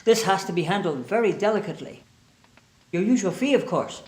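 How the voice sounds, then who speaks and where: An actor playing a gruff, harried police inspector with a blunt, exasperated manner in film drama. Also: male voice, high voice, animated, brisk, darkish tone. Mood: worried, wry. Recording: echoey room with faint music.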